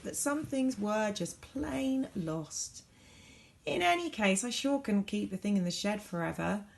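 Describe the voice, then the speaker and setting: A woman reads a children's story with a very lively, swooping pitch and a creaky edge. She leans into key words and leaves deliberate pauses, in the expressive manner of a teacher at storytime. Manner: dramatic, varied emphasis with deliberate pauses. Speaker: teacher reading a storybook aloud.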